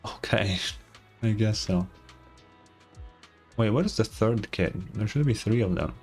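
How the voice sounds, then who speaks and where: A man's voice: fairly low pitch, measured pace, gravelly, darkish tone, faint music behind. A man streaming a game, reading its story narration aloud and adding casual comments.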